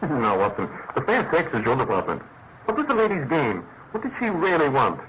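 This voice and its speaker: An actor playing an incisive, commanding British detective in a classic radio mystery, speaking in an emphatic, articulate way. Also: male voice, medium pitch, wildly swooping pitch, fairly quick. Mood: probing, wry. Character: incisive male detective, brisk, commanding, theatrically analytical. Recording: tinny, noisy old radio recording.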